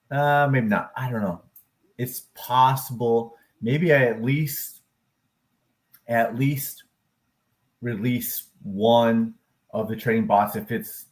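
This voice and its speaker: Upbeat, rambling male live stream host. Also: fairly low pitch. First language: English. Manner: upbeat, rambling, with frequent fillers and self-corrections; occasional loud whooping cheers. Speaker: live stream host, crypto and stock chart analyst. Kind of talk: casual live stream chat.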